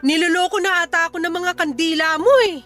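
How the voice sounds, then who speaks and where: Super high sharp-voiced filipina mom, desperate n demanding, radio drama, indignant. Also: female voice, fairly even intonation, fast talker, faint music behind. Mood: accusing. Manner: indignant, pleading, insistent. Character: desperate, demanding young mother betrayed by a folk healer.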